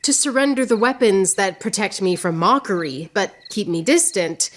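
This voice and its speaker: An actress playing a witty, proud, lovelorn woman poet in radio theatre, speaking in a theatrical, yearning way with drawn-out phrases. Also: medium pitch, animated, slight background noise, lightly acted. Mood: passionate. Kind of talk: poetic radio theatre acting.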